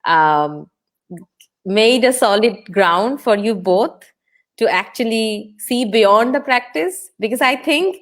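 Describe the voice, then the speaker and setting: A woman's voice: on the high side, expressive, takes it slowly. A woman, moderating an online interview in a conversational way. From Bangladesh. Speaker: moderator, host.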